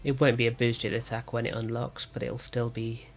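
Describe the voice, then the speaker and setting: Male gaming video host, medium pitch, sore and slightly rough voice, casual play-by-play, reasoning through moves aloud. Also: level tone, moderate pace, soft background music. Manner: thinking aloud. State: sore throat. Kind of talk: casual card game commentary.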